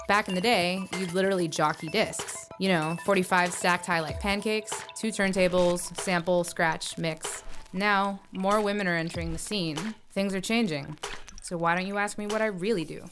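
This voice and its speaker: A woman's voice: lowish voice, normal speed, close to an everyday voice. A woman playing a brash, self-assured electronic music artist in a motion comic, slangy and cocky in delivery. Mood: brisk, cocky. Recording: voice over clear music.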